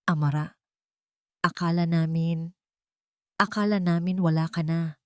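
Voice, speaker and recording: A woman's voice: fairly low pitch, steady tone, clear, resonant tone, no room sound, close to an everyday voice. Audiobook drama role: remorseful man, acted by a woman, pleading, broken phrasing, dry sound. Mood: anguished pleading. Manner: pleading, halting, repeating phrases. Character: anguished, guilt-ridden young man begging forgiveness.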